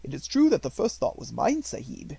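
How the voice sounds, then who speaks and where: A devoted Indian manservant from a children's storybook, acted out by a male reader. He is respectful and watchful, with formal, poetic phrasing. The voice is raised and melodic, with a little creak, recorded dry. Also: a little high, huge pitch swings, brisk, mellow, no echo, exaggerated voice. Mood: wistful, earnest.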